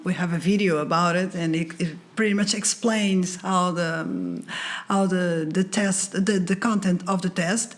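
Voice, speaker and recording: A woman working as an immigration consultant, explaining things calmly and reassuringly with a few fillers. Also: mid-range voice. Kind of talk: video call interview.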